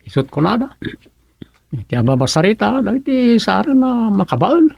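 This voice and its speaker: A man acting as a gossipy small-town Filipino official with an Ilocano accent. His voice sits a little high and sounds very dry, in conversational radio comedy drama style. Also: big pitch swings, normal speed, vocal fry, darkish tone, breathy edge, no room sound, exaggerated voice.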